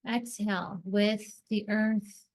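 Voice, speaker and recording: Slow-paced woman guiding a meditation. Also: medium pitch, flat delivery, darkish tone. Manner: slow, deliberate phrasing with pauses between short phrases. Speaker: guided meditation host. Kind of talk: guided meditation, soothing scripted narration.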